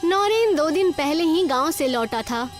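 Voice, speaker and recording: A woman with a high voice narrates a children's moral tale. It is a scripted storytelling read, with soft music in the background. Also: animated, measured pace.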